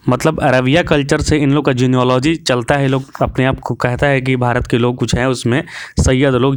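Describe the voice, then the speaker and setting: A man gives opinionated commentary in a clear, smooth voice. He sounds preachy and drops English words into his talk. The sound is dry, with very little room echo. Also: medium pitch. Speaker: video commentator.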